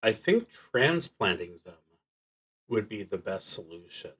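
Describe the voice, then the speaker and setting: A man's voice: on the low side, sing-song, a bit bright, breathy edge. A man streaming a solo tabletop roleplaying game, thinking aloud in a hesitant, musing, unscripted way. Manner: hesitant, musing, halting delivery.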